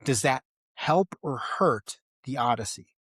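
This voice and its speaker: A man's voice: mid-range voice, lively intonation, slow, a bit bright, slightly rough, dry recording. Dry-sounding man chatting as podcast host. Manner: conversational, often checks agreement with "right?". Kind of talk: casual film discussion.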